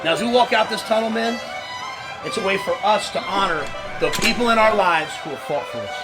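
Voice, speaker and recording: A man with a high voice delivers a rousing, heartfelt sports motivation speech. Loud string music plays behind him. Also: a little fast, poor audio.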